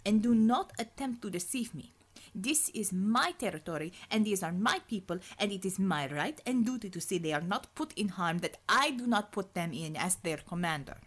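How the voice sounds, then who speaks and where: A woman's voice: medium pitch, lively intonation, moderate pace, few pauses, husky. Woman acting a stern Russian commander, coolly imperious. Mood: commanding. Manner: clipped, formal, interrogating.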